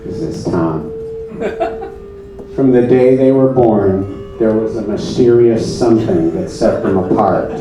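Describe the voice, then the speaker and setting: A man narrating a comedy puppet show on stage with mock solemnity, deadpan, pompous and halting, in a very echoey, distant recording. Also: fairly low pitch. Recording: very echoey, distant mic, music behind.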